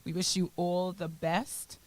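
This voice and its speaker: A woman's voice: fairly low pitch, takes it slowly. Chatty British woman hosting morning radio. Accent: British, at times Bahamian. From Britain. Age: young adult. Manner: chatty, animated storyteller, acts out quotes. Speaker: radio show co-host. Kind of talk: casual morning radio chat. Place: radio studio.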